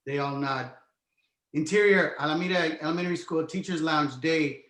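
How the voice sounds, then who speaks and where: Male narrator, scene directions for a table read, higher-set voice, roomy echo. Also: normal speed, fairly bright tone. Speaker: narrator reading stage directions.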